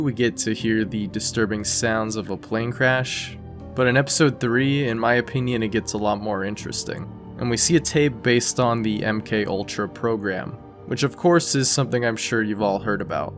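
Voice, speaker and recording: A man's voice: medium pitch, a bit bright. A man narrating an explainer video in a casual, conversational, scripted style. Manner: conversational, informal.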